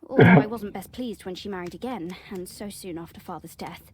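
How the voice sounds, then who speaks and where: A woman's voice: lowish voice, fairly quick, a crowd in the background, lightly acted. An actress voicing a shy, proper young Victorian woman in a video game, speaking politely, formally and earnestly.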